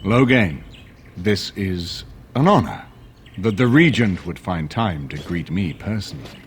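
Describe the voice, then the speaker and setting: A man's voice: deep voice, lively intonation, a little slow, breathy edge, full character voice. An actor playing a resolute, grave nobleman in a fantasy game, with a formal, stately delivery. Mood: formal defiance. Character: grave, resolute noble lord rallying allies. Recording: loud game music under the voice. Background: loud music.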